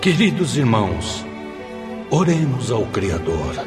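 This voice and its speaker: An actor playing a reverent, knowledgeable spirit guide in a radio drama, solemn and formal. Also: male voice, medium pitch, very animated, slow pace, music in the background.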